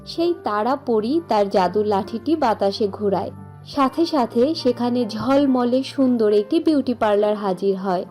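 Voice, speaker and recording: A woman's voice: a little high, animated, a little slow, dark tone, soft background music. A woman narrator reading a scripted fairy tale for a cartoon.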